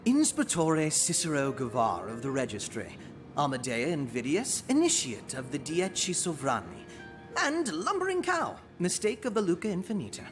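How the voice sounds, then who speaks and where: An actor playing a sardonic, smug assassin in a fantasy video game, with florid, theatrical, mocking phrasing. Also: male voice, fairly high pitch, huge pitch swings, normal speed. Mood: boastful, biting. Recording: loud music behind the voice.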